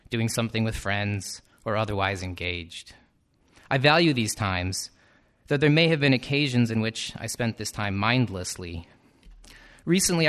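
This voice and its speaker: A man, a teacher, reading his own reflective personal essay in a measured, thoughtful way with a slightly wry edge. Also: medium pitch, fairly bright tone.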